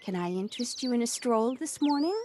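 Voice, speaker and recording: A woman's voice: a little high, animated, fairly quick, a little mellow, close to an everyday voice. A woman acting a cheerful, friendly, sociable neighbour in an audio play recorded at home. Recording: home recording on personal device, faint noise.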